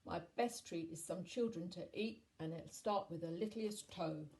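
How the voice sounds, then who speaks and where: A woman voicing a grumpy, greedy male storybook giant with booming, emphatic delivery. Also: moderate pace, darkish tone, a little hiss or hum, close to an everyday voice, rough recording. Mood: gloating. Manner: booming, emphatic chanting and grumbling.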